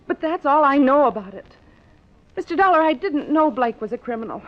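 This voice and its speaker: High, pleading young woman. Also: animated, fairly quick. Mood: anxious, confessing. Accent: American. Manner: earnest, hesitant, pleading. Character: anxious, pleading young gangster's girlfriend. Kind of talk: 1950s radio drama acting. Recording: dull vintage radio recording.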